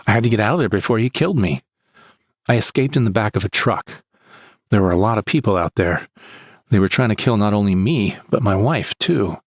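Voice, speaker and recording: A man's voice: on the low side, no echo, clean studio sound. A man playing a frightened, bitter victim who recounts his ordeal in plain, short sentences for an audio drama monologue. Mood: grave recounting. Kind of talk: first-person scripted audio drama monologue.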